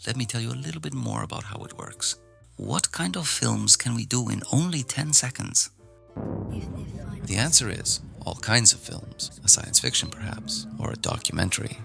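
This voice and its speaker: A man from Iceland teaching an online filmmaking class in a relaxed, gently encouraging way, with some fillers when he talks off the cuff. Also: mid-range voice, moderate pace, creaky, faint music behind. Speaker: film teacher. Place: outdoors in nature. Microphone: phone recording nearby.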